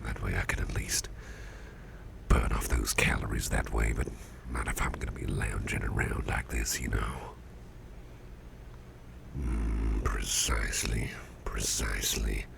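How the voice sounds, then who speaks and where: A man playing a sickly, grateful and affectionate boss in a whispered ASMR roleplay, speaking slowly and hesitantly in a gravelly, breathy, folksy way. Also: a bass voice, fairly even intonation, full character voice. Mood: cosy, affectionate. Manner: slow, hesitant, folksy and tender. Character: sickly male boss, grateful, affectionate, self-deprecating workaholic. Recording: noticeable background noise, soft ambience.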